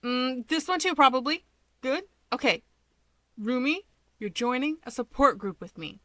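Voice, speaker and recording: A woman voicing a wry, harried young male hero with brisk delivery in an audio story. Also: sits high, lively intonation, a bit bright, dry recording, voice pushed up. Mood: exasperated.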